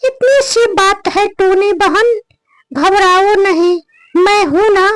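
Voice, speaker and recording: A man voicing a helpful, caring cartoon parrot with a squeaky voice and an earnest, polite, brotherly manner. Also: slow, fairly bright tone, no room sound, studio quality, exaggerated voice. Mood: concerned, reassuring. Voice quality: squeaky, artificially high.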